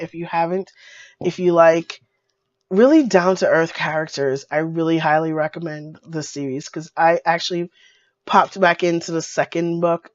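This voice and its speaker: Hoarse woman gushing over a book. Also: low voice, no room sound. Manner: gushing, many fillers and repeats. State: hoarse with a cold. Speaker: book reviewer. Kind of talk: casual video book review. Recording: dull lo-fi dry sound.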